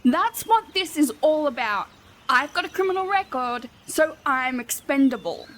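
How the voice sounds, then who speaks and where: A woman's voice: high-pitched, very animated, moderate pace, a bit bright, slight background noise. A woman playing a sarcastic, grumbling, put-upon rogue in a fantasy comedy audio drama. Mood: exasperated. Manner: sardonic, exasperated complaining.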